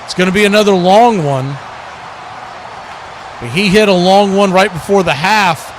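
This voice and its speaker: A man's voice: high-pitched, normal speed. An American man giving live play-by-play commentary of a football game as the host of a stream. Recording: clear background noise.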